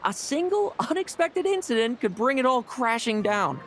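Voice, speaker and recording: An actor playing a jaded young male knight in a video game, dry, restless and measured in his speech. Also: very high voice, big pitch swings, fairly bright tone, exaggerated voice. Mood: brooding. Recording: game music underneath.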